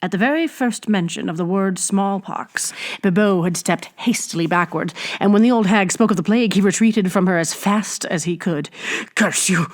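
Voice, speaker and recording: A woman reading a classic adventure novel aloud as an expressive storybook narrator. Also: mid-range voice, normal speed, few pauses. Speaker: audiobook reader.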